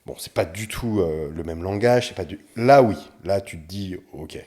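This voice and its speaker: A man with a low, mellow voice talks as a guest on a casual podcast. He is animated and walks through his points with concrete examples, and his tone is warm and unhurried. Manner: animated, explains things with examples.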